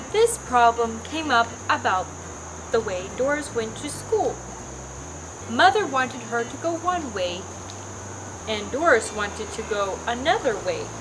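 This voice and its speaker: A woman's voice: sits high, background noise. A woman storyteller narrating a scripted story for children. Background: music.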